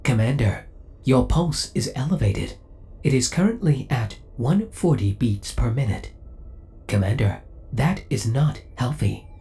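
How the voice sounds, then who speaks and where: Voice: mid-range voice, animated, unhurried, dark tone, natural voice. Actor voicing a lilting, possessive spaceship AI. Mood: clinical concern. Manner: formal, precise, clinical, politely menacing. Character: possessive spaceship AI, devoted, coldly jealous, clinical. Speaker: voice actor playing a ship's AI. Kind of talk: scripted ASMR audio roleplay acting.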